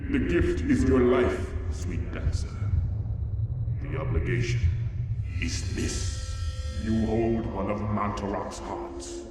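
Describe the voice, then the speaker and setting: A solemn, commanding game guardian, speaks slowly and portentously. The voice is distant and drenched in echo, with loud music behind it. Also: male voice, on the low side. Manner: grave, portentous, halting. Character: solemn, commanding otherworldly guardian bestowing a destiny. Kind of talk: video game cutscene acting.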